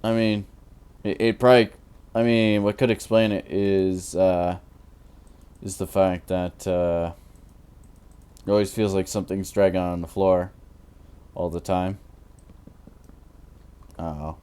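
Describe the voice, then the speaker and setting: Young man, deep smooth voice, tired, rambling, hesitant, lots of fillers. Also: little pitch movement, slow, long pauses, mellow. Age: 20s. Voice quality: naturally deep voice. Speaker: Minecraft YouTuber. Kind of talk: rambling gameplay commentary.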